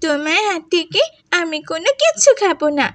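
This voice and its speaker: A woman's voice: extremely high pitch, sing-song, fairly quick, no room sound. A woman voicing a stubborn, distrustful seven-year-old village girl in a cartoon, childlike and insistent. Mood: defiant. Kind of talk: animated moral story voice acting.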